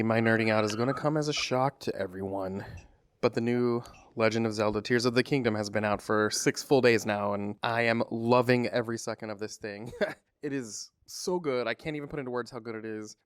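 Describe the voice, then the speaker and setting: A man's voice: mid-range voice, clean tone, no room sound, clean studio sound. A man in his thirties co-hosting a casual pop culture podcast, enthusiastic and laughing often. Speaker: podcast co-host.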